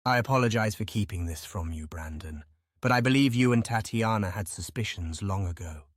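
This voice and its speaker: Casting: male actor as composed, respectful fantasy adviser, lowish voice, formal, close dry sound. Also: brisk, no room sound, lightly acted. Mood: apologetic, composed. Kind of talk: audiobook drama acting.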